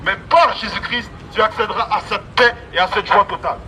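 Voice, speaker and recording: High-pitched male street preacher on a megaphone. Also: fairly quick, a loud crowd. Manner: exhorting, emphatic, repetitive phrasing. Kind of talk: street sermon, open-air evangelism. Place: outdoors on an urban street. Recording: amplified megaphone, loud outdoor street noise.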